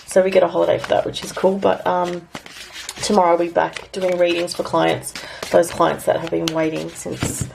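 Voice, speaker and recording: Raspy, gravelly Australian woman. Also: lowish voice, little pitch movement, moderate pace, background noise. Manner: rambling, filler-heavy, thinking aloud. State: raspy from recent illness. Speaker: astrologer and tarot reader. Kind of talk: casual weekly astrology and tarot forecast.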